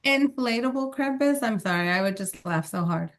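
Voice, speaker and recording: A woman co-hosting a casual podcast, blunt and quick to laugh, and she swears casually. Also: on the high side, lively intonation. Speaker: podcast co-host. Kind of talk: casual chat.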